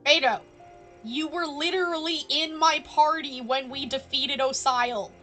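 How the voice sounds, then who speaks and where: Snarky woman streamer, slow and highish. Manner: snarky, talks back to characters. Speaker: game streamer. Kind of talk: casual live game commentary. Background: soft game music.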